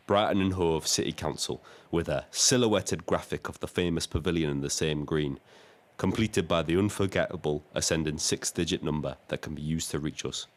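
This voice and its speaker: A very deep male voice plays a lonely, resigned city cabbie. He narrates in a measured, brooding way, with literary phrasing, as in a scripted audio drama. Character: lost, lonely male Brighton taxi driver, wryly resigned. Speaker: narrator and lead character.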